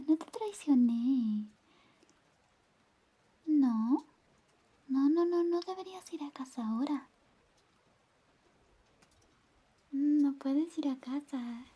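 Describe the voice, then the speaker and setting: A woman as possessive young female friend, pleading ASMR whisper, high-pitched, soft dark tone. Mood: possessive, coaxing. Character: clingy, jealous young female best friend in love with listener. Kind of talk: whispered ASMR roleplay in Spanish.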